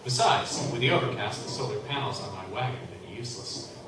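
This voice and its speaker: A man playing a blunt, practical traveling tinker in a live radio play, breaking into loud angry shouts. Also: on the low side, fairly quick, close to an everyday voice. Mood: easygoing. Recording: echoey hall from far off, background noise.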